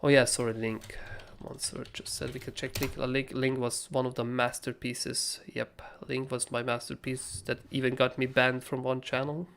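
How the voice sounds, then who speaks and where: A young man with a hoarse, raspy voice, casually talking through trading charts as a livestream host, rambling and swearing freely. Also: mid-range voice, level tone, moderate pace, few pauses, a bit bright, slight background noise. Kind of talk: casual live chart analysis.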